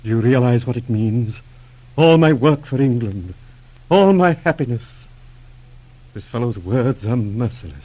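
An actor playing a proud, anguished aristocrat in an old radio drama, grave and halting in a theatrical way. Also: male voice, medium pitch, expressive, warm tone, low-quality recording. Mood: anguished, resolute. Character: proud, anguished lord torn between wife and country. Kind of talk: 1940s radio drama acting.

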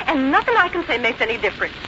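A worried, defensive daughter in a vintage radio play. Her voice is high and sharp, swinging widely as she complains and insists. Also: very animated, very fast, heavily acted. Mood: fretful, exasperated. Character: fretful, defensive grown daughter of an aging mother. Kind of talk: 1950s radio drama acting. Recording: dull, hissy old radio recording.

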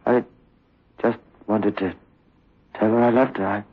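A man's voice: on the low side, fairly even intonation, unhurried, husky. A man playing a frightened, confused young psychiatric patient in a 1960s radio drama, stammering and pleading with childlike desperation. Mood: broken, subdued. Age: young adult. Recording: muffled old radio drama recording.

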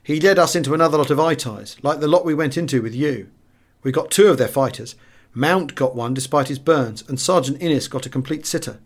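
A man's voice: a little high, fairly quick. A man with a British accent voicing a chatty, slangy RAF fighter pilot in an audiobook memoir. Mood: chatty, bemused. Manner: rambling, breezy wartime slang.

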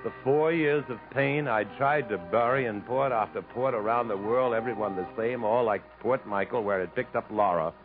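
An actor playing a world-weary, guarded drifter haunted by his past, in measured and reflective 1950s radio drama style. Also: medium pitch, over music, natural voice. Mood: reflective narration. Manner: measured, literate, reflective, halting when pressed on feelings. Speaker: actor and first-person narrator in a radio play. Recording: muffled, noisy 1950s radio recording.

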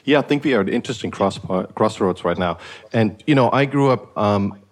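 Low-voiced man from Eastern Germany. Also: animated, a little fast. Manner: thoughtful, rambling with many restarts. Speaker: podcast host. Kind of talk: casual conversational podcast.

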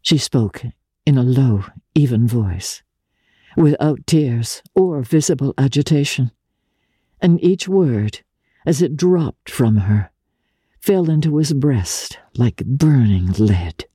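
A woman with a low, mellow voice gives a dramatic literary reading. She is unhurried and deliberate, shaping each phrase with expression, in a close, dry recording with a soft, slightly husky edge. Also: expressive, dry recording. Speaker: audiobook narrator.

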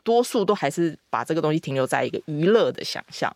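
A woman's voice: low-pitched, expressive, quick pace, a little mellow, no echo. A woman, an editor guest explaining things with many fillers in a casual studio chat. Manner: explanatory, lots of fillers and agreeing. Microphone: studio mic.

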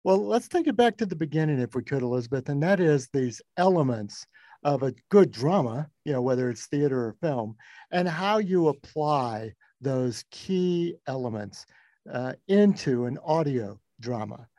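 A man's voice: medium pitch, expressive, moderate pace, mellow, no echo. A man hosting an interview, asking questions in a conversational style. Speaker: interview host.

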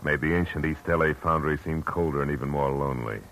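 Tough wry private eye, extremely low voice, clipped delivery, vintage radio noir, noisy recording. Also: male voice, steady tone, fast, fairly bright tone. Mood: grim narration. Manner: wry, clipped, world-weary. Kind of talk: 1950s radio detective drama, narration and dialogue.

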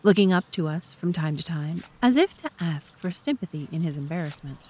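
A woman's voice: fairly low pitch, expressive, fairly quick, darkish tone, a little hiss or hum. A woman reading scripted narration as narrator and host of a story podcast.

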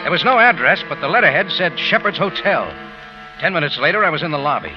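A tough American private eye in an old radio drama. His fairly high voice moves up and down a lot in clipped, wry noir delivery. The recording is dull, with loud music behind. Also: sing-song, fast. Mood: brooding, tense. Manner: clipped, hard-boiled delivery. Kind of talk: 1940s radio noir narration and acting.

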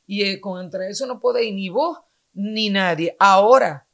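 Gravelly-voiced Venezuelan woman guest. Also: medium pitch, a little slow, fairly bright tone. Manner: earnest, explanatory. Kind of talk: casual chat.

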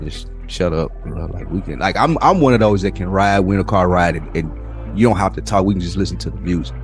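A young man with a very low voice talks through a casual podcast. He rambles in a laid-back way and swears freely. Faint music plays underneath. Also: fairly quick. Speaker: podcast co-host.

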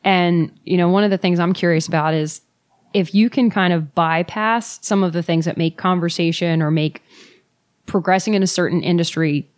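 A woman co-hosting a casual interview, talking in a measured, thoughtful and somewhat wordy way. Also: fairly low pitch, steady tone. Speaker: co-host interviewing a guest.

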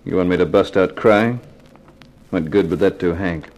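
An actor playing a tough, guarded private eye in a radio crime drama, terse, poker-faced and sardonic. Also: male voice, low-pitched, normal speed, a little mellow, lightly acted. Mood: curt, defiant. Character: tough, guarded hard-boiled private eye, narrating his case. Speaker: actor playing detective and narrator. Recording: noisy old 1950s radio recording.

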